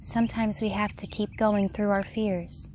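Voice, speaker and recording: A woman leading a guided meditation in a gentle, unhurried, soft-spoken way. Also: mid-range voice, little pitch movement, slight background noise. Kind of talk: guided meditation, slow soothing narration.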